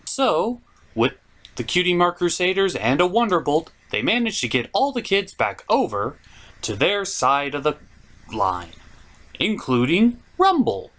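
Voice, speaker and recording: A man's voice: a little high, huge pitch swings, measured pace, a bit bright. A man hosting a comedic cartoon review, delivering scripted commentary and asides with theatrical, mock-dramatic emphasis. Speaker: host of a cartoon review show.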